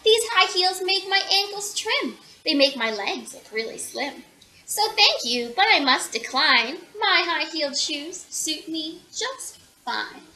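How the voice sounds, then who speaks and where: A woman's voice: extremely high pitch, very animated, a little slow, pushed and bright, heavily acted. A woman voicing a vain, haughty storybook animal that preens about its looks. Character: vain animal fond of high heels.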